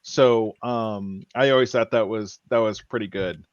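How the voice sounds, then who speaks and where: A man hosting a casual TV discussion podcast, speaking haltingly and repeating words as he thinks. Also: lowish voice, fairly even intonation, no echo, muffled recording. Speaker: podcast host.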